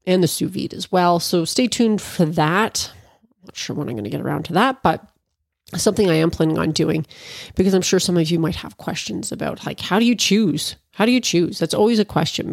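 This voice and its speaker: A woman's voice: fairly low pitch, moderate pace, creaky, no echo, studio quality. Stuffy-nosed woman chatting to viewers. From Canada. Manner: rambling, informal, with fillers and asides. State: stuffy nose from allergies. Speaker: cannabis cooking vlogger. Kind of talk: casual chatty monologue to viewers.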